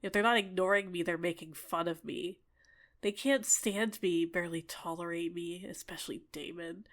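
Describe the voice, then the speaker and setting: A woman's voice: lowish voice, normal speed, lightly acted. Woman playing a gushing, possessive little fairy. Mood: hurt, bitter. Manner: excitable, gushing, coy, chatty. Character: bubbly, clingy, possessive female fairy, sweetly menacing. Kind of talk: ASMR roleplay, scripted character acting.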